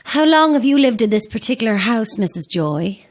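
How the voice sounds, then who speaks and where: An actress playing a weary, grieving mother, guarded and uneasy, speaking in a subdued, halting way in a horror audio drama. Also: a little high, big pitch swings. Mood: guarded, probing.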